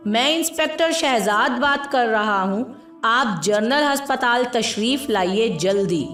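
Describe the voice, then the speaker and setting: A grave police inspector from a moral tale , read by a woman narrator. He is polite, formal and terse, with measured pacing and a roomy echo. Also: on the high side, expressive, a bit bright, recorded from a distance. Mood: brisk, official. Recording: roomy echo with music behind.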